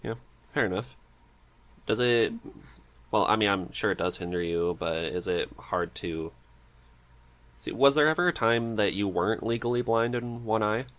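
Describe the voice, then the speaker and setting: A man's voice: fairly low pitch, normal speed, long pauses, fairly bright tone. A man hosting a casual interview chat as the interviewer. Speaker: podcast host, interviewer.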